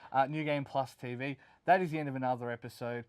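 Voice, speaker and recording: A man's voice: mid-range voice, steady tone, fast talker, clean tone, no room sound. Casual Australian man hosting, dry close sound. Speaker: host of a video game review show. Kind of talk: casual unscripted review chat.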